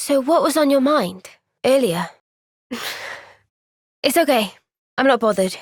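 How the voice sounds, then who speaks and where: Gentle young soldier girl from a fantasy game, slow careful talker, sincere, really dry close sound. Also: high-pitched, animated, no room sound. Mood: calm, thoughtful. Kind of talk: video game cutscene voice acting.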